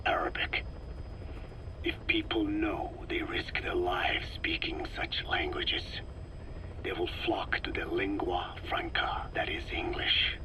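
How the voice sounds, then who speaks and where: An actor playing a frail, learned old Navajo scientist in a video game, lecturing gravely in slow, measured phrases with a breathy rasp. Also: male voice, deep voice. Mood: grave, foreboding. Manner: slow, solemn, measured, deliberate. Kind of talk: dramatic game monologue, lecturing.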